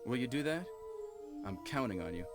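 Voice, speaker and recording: Brief: male actor, calm formal captain in a horror game, music behind. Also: medium pitch, lightly acted. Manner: measured, formal.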